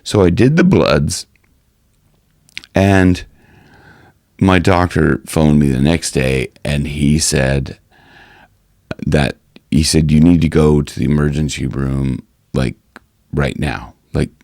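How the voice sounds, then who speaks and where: Hoarse guy with a super low gravelly voice, rambling vlog chat, dry close sound. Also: darkish tone, dry recording. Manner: rambling, many restarts and fillers. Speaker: singing coach and vlogger. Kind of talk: casual health update vlog.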